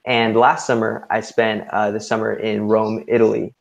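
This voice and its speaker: A young man introducing himself as a student ambassador in a relaxed, halting way full of fillers. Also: lowish voice, gravelly. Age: young adult. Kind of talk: casual self-introduction. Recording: dull lo-fi sound.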